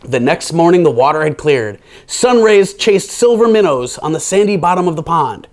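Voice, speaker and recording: A man's voice: fairly high pitch, very animated, moderate pace. A man hosting children's storytime, reading a picture book aloud with playful, theatrical delivery and casual asides.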